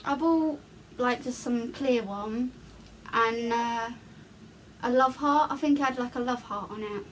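A woman's voice: on the high side, a little slow, low-quality recording. A young woman with a southeast English accent, chatting casually in a small bedroom. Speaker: vlogger making prank calls. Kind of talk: casual chat. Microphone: camera a short distance away. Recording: faint background room noise.

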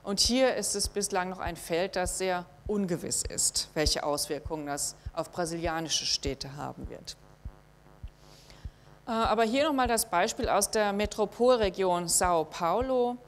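A middle-aged woman giving a university lecture in a hall, measured and thinking aloud with frequent hesitations. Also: on the low side, expressive, a little hiss or hum. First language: German. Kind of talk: academic lecture with slides.

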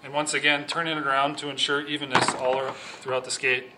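A young man calmly explaining, step by step, how to sharpen speed skates, pausing now and then to restart a phrase. He is recorded in a large office room with a whiteboard. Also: medium pitch, distant mic, noisy background. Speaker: speed skating sharpening instructor. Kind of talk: instructional demonstration. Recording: echoey room, voice from off-mic.

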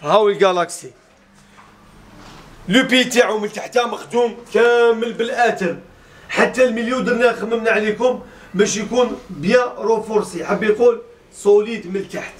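A man in his thirties with an Algerian accent, mixing in French words as he presents a sofa set in a small furniture showroom with an upbeat, laughing sales pitch. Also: brisk. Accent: Algerian Arabic with French mixed in. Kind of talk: casual product showcase video.

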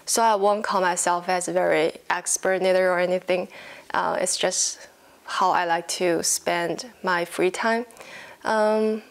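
Young woman w chinese accent just chatting to camera, kinda hesitant, crackly fry in her voice. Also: fairly low pitch, fairly even intonation, measured pace. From China. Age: 20s. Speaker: knitting podcast host. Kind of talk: casual chat to camera. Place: living room at home, indoors.

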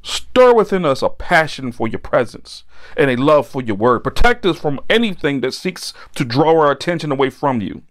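A man preaching and praying as a pastor, earnest and deliberate in his phrasing. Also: fairly high pitch, sing-song. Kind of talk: sermon and spoken prayer.

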